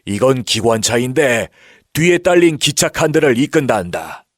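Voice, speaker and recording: A kindly old storybook grandfather, acted by a man, gentle and patient in his explaining. His intonation moves up and down in a lively way, and the recording is close and dry. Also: mid-range voice, moderate pace, no room sound. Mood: gently explaining. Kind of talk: children's storybook acting.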